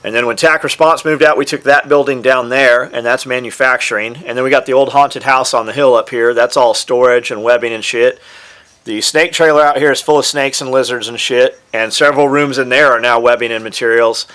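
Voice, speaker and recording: Offhand, cursing man with middling pitch. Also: steady tone, fairly quick, a bit bright. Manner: offhand, profane. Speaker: gear maker and business owner. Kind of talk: casual storytelling about his company.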